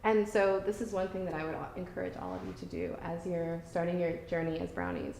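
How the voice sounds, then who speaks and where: A woman's voice: fairly low pitch, level tone, a little fast, creaky, darkish tone. A woman professor from Michigan, talking to new students in a friendly, informal way with fillers. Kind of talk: casual talk to students. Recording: echoey room, faint noise.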